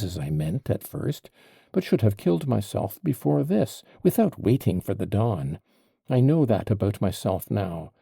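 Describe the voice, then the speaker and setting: A man voicing a desperate, furious young man under arrest, in a gravelly, dark voice. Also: lowish voice, no echo, clean studio sound, exaggerated voice.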